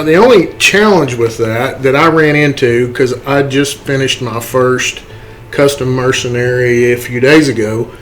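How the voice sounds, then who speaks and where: A man with a medium-pitched voice hosts a screen-recorded tutorial. He talks conversationally, explaining things step by step like he is showing another hobbyist how it works, often adding a quick check-in at the end of a point. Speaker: tutorial host, game modder.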